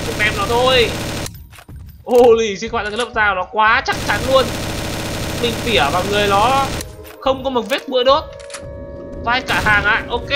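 A man with a distinctly high-pitched voice commentates on a video game. He talks casually and excitably, exclaiming and repeating words in slangy phrases. Music plays clearly behind him. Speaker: gaming YouTuber, host.

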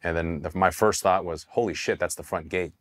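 A man in his thirties, from Taiwan and raised in California, telling his Army story in a candid, relaxed interview with casual swearing and frequent restarts. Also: low voice, a little fast. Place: dark studio set, indoors. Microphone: hidden mic, seated on camera.

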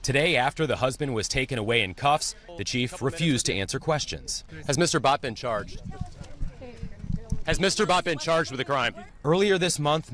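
A man reporting a local TV news story in a measured, scripted broadcast style. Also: mid-range voice, fairly bright tone.

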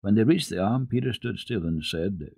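A man reads a children's story with dramatic expression. His voice is low and moves through wide swings of pitch, deliberate in delivery, with a dry, close sound. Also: animated, gravelly, dark tone, slightly rough, no echo. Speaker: storyteller, children's radio host. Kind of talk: dramatic children's book narration.

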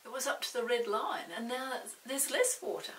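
A woman in her sixties hosting a children's storytime, reading a picture book gently and sometimes dropping to a whisper. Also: fairly high pitch, lively intonation, normal speed. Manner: gentle, often whispering to a puppet. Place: indoor room with a storybook set. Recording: roomy, distant mic, faint hiss.

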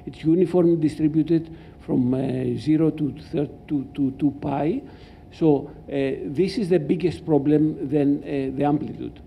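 An older man with a Greek accent giving an academic lecture as a professor, halting and explanatory, speaking into a microphone in a lecture hall. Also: a little high, creaky, a little hiss or hum. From Greece. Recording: dull, muffled lecture-hall recording.

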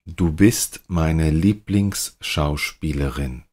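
A native German man with a very low voice reads short practice sentences for learners. He speaks slowly and deliberately, saying each line several times. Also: darkish tone. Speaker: language teacher. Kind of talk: slow repeated example sentences.

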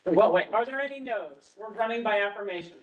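A woman's voice: medium pitch, lively intonation, fairly quick, highs cut off, slight background noise. A woman chairing a board meeting, moving through procedural votes. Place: hybrid community board meeting room. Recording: distant mic in meeting room.

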